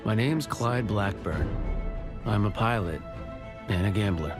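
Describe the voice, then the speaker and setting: Low-voiced American rogue pilot narrating. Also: male voice, lively intonation, a little slow. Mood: wry. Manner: wry, confiding. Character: roguish gambler pilot telling his own story. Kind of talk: scripted first-person game narration. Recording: loud music under voice-over.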